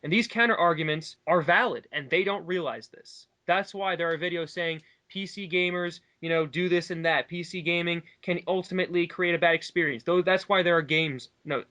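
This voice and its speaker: A man's voice: a little high, fairly quick, hardly any pauses, bright, forceful tone. A man in a casual, opinionated discussion, talking at length in an emphatic, argumentative way. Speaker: online commentary co-host.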